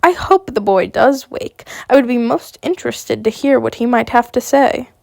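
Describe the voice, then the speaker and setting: Woman reading as a wry dwarf lord, gravelly. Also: a little high, lively intonation, normal speed, a little mellow, no echo, clean studio sound. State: sick. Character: sharp-tongued male dwarf noble, wry and mocking.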